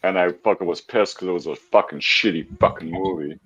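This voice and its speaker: A man's voice: low-pitched, fairly quick, dull audio, a loud crowd. A man in his fifties on a casual livestream panel, blunt and profane. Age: 50s. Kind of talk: casual group chat on a livestream.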